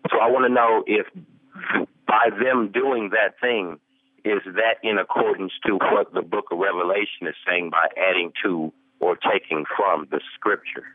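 Deep-ish guy calling in on a tinny phone, dry, pushing his point. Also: fairly even intonation, normal speed, no echo. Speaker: caller. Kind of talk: call-in radio question.

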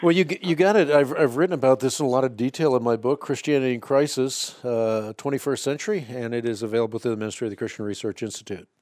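Measured Dutch-born radio host, dry studio. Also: male voice, medium pitch, darkish tone, no echo. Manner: measured, explanatory, quotes scripture. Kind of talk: Bible answer call-in show.

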